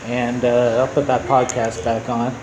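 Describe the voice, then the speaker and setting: A man's voice: medium pitch, little pitch movement, unhurried, music in the background, poor audio. A man giving casual, off-the-cuff commentary as he hosts a gameplay video. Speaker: gaming video host.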